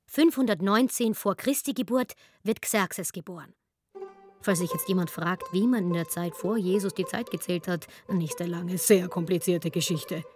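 A woman's voice: lowish voice, moderate pace, a little mellow, faint music behind, lightly acted. An actress playing a chatty, wry female storyteller who narrates ancient history in a colloquial, digressive way for a comic radio play. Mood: wry, explanatory.

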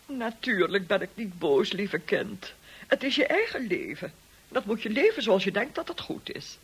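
A woman's voice: mid-range voice, big pitch swings, normal speed, slightly rough, full character voice. An actress playing a condescending older society lady in a Dutch radio drama, theatrical and patronising, with false sweetness. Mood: patronising, cutting.

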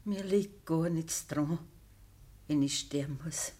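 Radio play casting: frail Bavarian farm mother on her deathbed, lowish husky voice, humble, sorrowful, deferential. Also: dark tone. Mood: weary, resigned. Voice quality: husky, weak. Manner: halting, deferential. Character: dying peasant mother, humble, sorrowful, devoted. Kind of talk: naturalistic dialect radio play acting.

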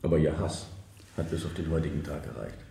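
An actor playing a probing, matter-of-fact police interrogator in a radio drama, with a brisk, pressing manner. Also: male voice, extremely low pitch, vocal fry, dark tone, roomy sound. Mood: probing, insistent.

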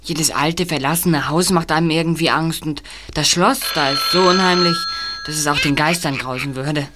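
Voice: mid-range voice, normal speed, bright, forceful tone, a little hiss or hum, natural voice. An actor playing an uneasy, reluctant boy detective sidekick in an audio drama, plain-spoken and a little hesitant. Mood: uneasy, defensive.

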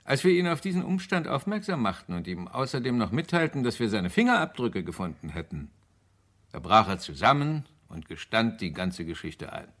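An actor playing a confident British police inspector in a German radio crime drama, explaining methodically with deliberate emphasis. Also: male voice, fairly low pitch, big pitch swings, fairly bright tone. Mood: satisfied, emphatic.

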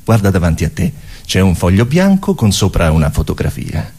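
An actor playing a bossy, absurd male hypnotist, husky and theatrical, coaxing and mocking in a comic stage play. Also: low voice, big pitch swings, fairly quick, warm tone, exaggerated voice. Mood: patronising, coaxing. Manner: commanding, theatrical.

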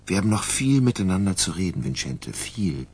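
An actor playing an earnest, persistent writer and loyal friend in a radio crime drama, a man with a husky voice. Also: low-pitched, very animated, a little fast. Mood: earnest, insistent.

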